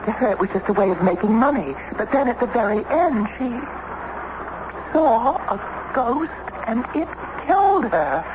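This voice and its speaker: An actress in a radio drama playing a shrewd, resolute, skeptical wife of a statesman, speaking with deliberate theatrical emphasis. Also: fairly high pitch, very animated. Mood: urgent, reasoning. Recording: muffled old radio with clear noise.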